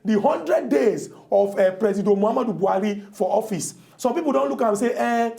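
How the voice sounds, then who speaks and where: A Nigerian man hosts a chat show with teasing, mock-pleading banter. His voice is high for a man and has a gravelly fry. He drawls some lines out for effect. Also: fairly quick, warm tone. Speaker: host. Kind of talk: talk-show hosting in Pidgin.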